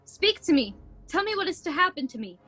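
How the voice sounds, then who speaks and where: A woman performs a female miser in a radio play, her voice very high and swooping with emotion. She pleads emphatically, frightened and dramatic, over music on a home call recording. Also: sing-song, brisk, bright, forceful tone, exaggerated voice. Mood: apprehensive.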